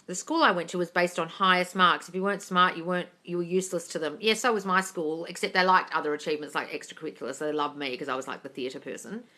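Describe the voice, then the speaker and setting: A woman's voice: on the low side, fairly even intonation, quick pace, hardly any pauses, sharp tone. A woman from England hosting a casual livestream, reading viewers' comments aloud and answering them bluntly, calling them darling and swearing freely. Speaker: manifesting coach answering live chat questions. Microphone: phone livestream.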